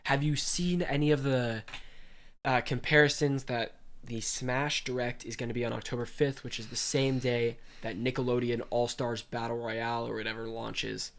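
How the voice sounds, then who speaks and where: This is a young man with a stuffy, congested voice, chatting animatedly as he hosts a podcast. His voice has a gravelly fry running through it, and he moves from casual banter to reading gaming news aloud. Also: medium pitch, steady tone, moderate pace, a bit bright. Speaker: podcast host.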